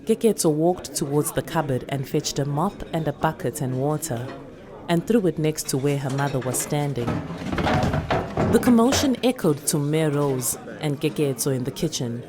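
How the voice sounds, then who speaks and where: A South African woman narrates literary fiction in a low-pitched voice. She reads from a script as an actor would, telling the story in the third person. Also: animated, normal speed, a crowd in the background. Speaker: actor reading a short story.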